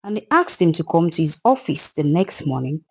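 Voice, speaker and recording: A woman's voice: sits low, very animated, normal speed, slightly rough, exaggerated voice. A woman voicing the sorrowful, wronged ghost of a murdered widow in a dramatised folktale, plaintive and earnest, with a Nigerian accent.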